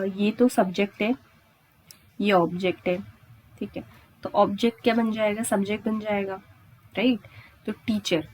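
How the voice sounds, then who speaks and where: An Indian woman teaching grammar step by step, repeating points and answering her own questions with frequent check-in tags. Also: mid-range voice. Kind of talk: exam-prep lesson at a board, explaining step by step.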